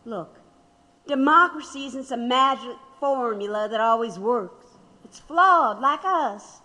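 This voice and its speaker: Actress as reflective, higher-voiced radical widow. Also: female voice, expressive, slow pace, pushed and bright, exaggerated voice. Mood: reflective, regretful. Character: reflective radical widow, proud, wry and regretful. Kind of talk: audio drama acting. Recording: reverberant, hall-like sound.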